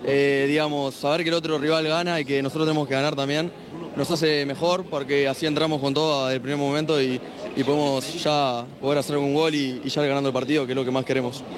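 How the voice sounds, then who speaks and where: A male football fan from Uruguay. His voice is slightly high. He talks casually in an outdoor interview, with plenty of crowd noise behind him. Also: level tone, quick pace. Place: outdoors outside a stadium.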